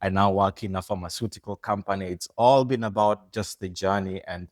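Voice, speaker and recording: A man from Uganda co-hosting a podcast in casual conversation, animated and quick to laugh, often repeating words as he starts a thought. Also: deep voice, no echo, clean studio sound. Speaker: podcast co-host.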